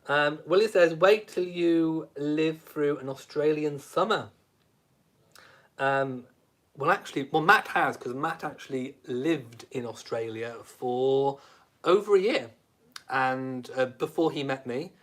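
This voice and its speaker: A man's voice: a little high, a bit bright. A man, hosting a live stream and reading out viewer comments in a cheeky, self-mocking way. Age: late 30s. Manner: cheeky, camp, self-mocking humour with frequent laughs. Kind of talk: casual live stream chat.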